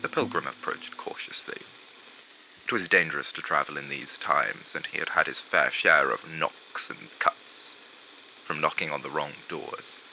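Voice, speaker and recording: A man playing a vain, gloomy undead showman who narrates an animated film in a pompous, drawn-out theatrical manner. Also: a bass voice, vocal fry, faint music behind, exaggerated voice, rough recording. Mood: solemn, dramatic. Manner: dramatic, pompous, drawn-out delivery with hushed asides.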